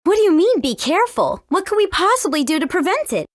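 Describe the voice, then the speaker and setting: A woman playing a spirited, confident teenage girl in a video game, brisk and questioning. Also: very high voice, very animated, no echo, clean studio sound. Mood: impatient. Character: spirited teenage heroine, impatient and sure of herself.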